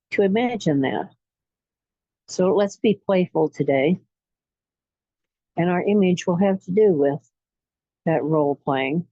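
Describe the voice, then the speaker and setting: A woman leading a guided relaxation meditation in a soothing, unhurried way, pausing between short phrases. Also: deep voice, warm tone, dry recording, highs cut off. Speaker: guided imagery facilitator.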